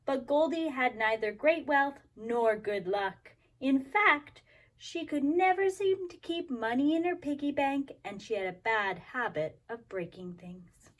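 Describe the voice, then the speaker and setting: A woman's voice: high voice, lively intonation, unhurried. A woman talking and reading aloud expressively to young children as a kindergarten teacher, explaining things simply. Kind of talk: children's story time, expressive read-aloud.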